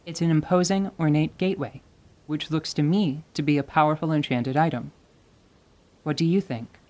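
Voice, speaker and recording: A man voicing a composed, considerate female winged warrior who speaks softly in measured, formal phrases for a fantasy audio drama. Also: on the high side, steady tone, lightly acted. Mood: gentle, steady. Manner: soft-spoken, measured, formal.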